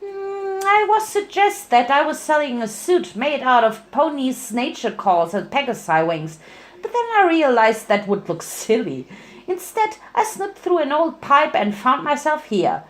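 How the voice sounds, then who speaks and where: A woman's voice: sits high, big pitch swings, measured pace, pitched above the performer's own voice. A woman voicing a hyperactive, cheerful and eccentric young cartoon pony in a bubbly, exclaiming manner. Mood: bubbly, eager.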